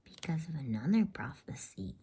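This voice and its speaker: A woman's voice: sits low, big pitch swings, normal speed, dark tone, breathy edge, exaggerated voice. A woman whispering as a grave storybook beaver. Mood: solemn, cautionary. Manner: earnest, cautionary, old-fashioned storybook speech. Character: grave, knowing, wary male talking beaver.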